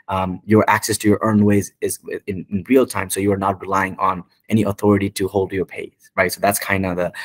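A man's voice: sits low, dry recording. A man in his twenties with a Nepali accent, answering interview questions in a casual, rambling, informal way on a video call. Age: 20s. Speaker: guest, tech company founder. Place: indoor room, on a video call. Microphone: video call microphone.

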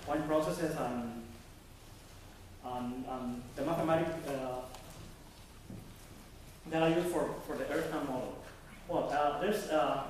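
A young man presents research at a conference, pausing and restarting as he searches for words. His voice sits a little high for a man. He is recorded from a distance in a very echoey hall, with a faint hiss under it. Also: darkish tone. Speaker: conference speaker. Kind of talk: academic presentation.

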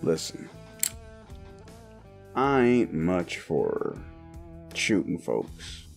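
Man playing a slow, gruff cowboy bounty hunter. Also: deep voice, sing-song, full character voice. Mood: stern, weary. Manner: folksy drawling, threatening yet wry. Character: gruff, world-weary male Western bounty hunter cowboy. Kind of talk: improvised Western audio roleplay. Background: guitar music.

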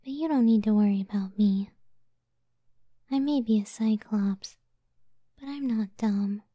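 A woman voicing a gentle, self-doubting giant cyclops wife in a soft, breathy ASMR roleplay, speaking tenderly and often near a whisper. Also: medium pitch, no room sound, studio quality, lightly acted. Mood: wistful, insecure. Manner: slow, tender, unhurried.

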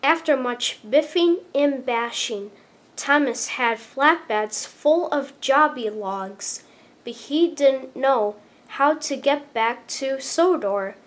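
A woman reading a children's story aloud, with deliberate, expressive emphasis. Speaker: storybook reader. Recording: clear background noise with low rumble.

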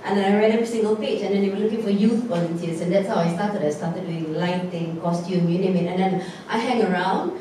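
A woman's voice: mid-range voice, quick pace, few pauses, dark tone. Frank woman on an echoey stage. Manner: earnest, encouraging, frank. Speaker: actor on a panel. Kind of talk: post-show panel talk. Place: theatre stage. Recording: echoey room, distant mic, noisy.

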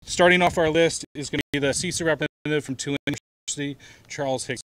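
A man hosting an outdoor awards ceremony, making introductions in a quick, slightly hesitant way. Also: a little high, fairly even intonation, fairly bright tone, a little hiss or hum, low-quality recording. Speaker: ceremony host.

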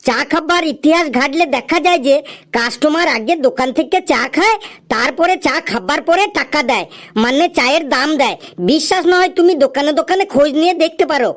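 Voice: high voice, animated, fairly quick. An actor playing a wheedling, cheeky freeloading customer in a comedy cartoon, teasing in a mock-earnest way.

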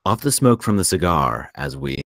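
A man reads stage directions as narrator. His voice is extremely deep, and the sound is dry and close. The delivery is scripted narration. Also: very animated, normal speed, fairly bright tone, no echo.

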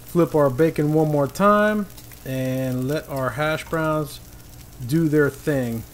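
Easygoing man over loud griddle sizzle. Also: on the high side, slow. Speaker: cooking show host. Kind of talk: casual cooking demonstration. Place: outdoor paved patio beside a griddle. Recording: clear sizzling griddle noise behind voice. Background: frying and sizzling food.

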